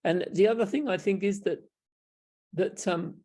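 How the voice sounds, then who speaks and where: A man's voice: high voice, moderate pace, warm tone, husky. An older Australian man, a Buddhist monk, telling wry, reflective stories in casual talk over a video call from a small room at home. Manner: reflective, wry, chuckling, frequent restarts. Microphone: video call webcam.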